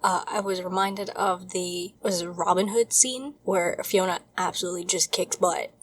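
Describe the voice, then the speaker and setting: A woman's voice: lowish voice, fairly even intonation, moderate pace. A woman in her twenties co-hosting a casual podcast, talking in an enthusiastic, rambling way. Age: 20s. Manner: enthusiastic, rambling, retells scenes with relish. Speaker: podcast co-host. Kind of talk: casual chat.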